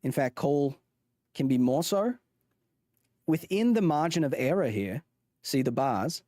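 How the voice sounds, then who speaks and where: A man's voice: on the high side, a little mellow, dry recording. Guy streamer doing a fake aussie accent, rambly, keeps restarting, dry close sound. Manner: putting on a mock Australian accent; rambling, with frequent restarts and self-corrections. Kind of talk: casual livestream commentary on a chart.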